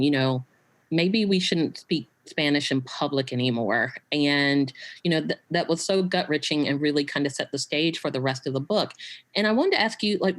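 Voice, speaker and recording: A woman hosting a conversational online interview over a video call, chatty and full of "you know" and "right?". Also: low-pitched. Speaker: host. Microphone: home video call.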